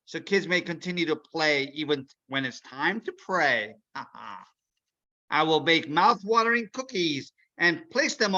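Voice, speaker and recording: A man puts on a grumpy storybook villain, scheming and theatrical. The voice is forceful and menacing with big swings in pitch, on a slightly dull recording. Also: exaggerated voice.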